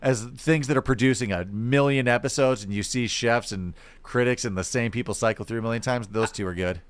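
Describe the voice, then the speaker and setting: A man's voice: mid-range voice, fairly quick, clean tone, no echo. A young American man chatting casually as a podcast co-host. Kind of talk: casual group chat.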